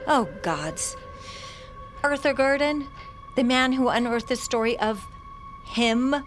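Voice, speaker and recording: An eccentric occult expert in an audio drama, high-pitched and theatrical. She is brusque, superstitious and pompous, delivering her lines with great drama over some music. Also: lively intonation, takes it slowly. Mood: lecturing, dramatic. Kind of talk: audio fiction drama acting.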